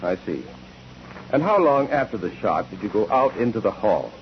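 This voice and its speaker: Deep-voiced, probing courtroom examiner. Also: male voice, big pitch swings, moderate pace. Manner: crisp, formal questioning. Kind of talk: 1940s radio acting. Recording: dull, noisy 1940s radio recording.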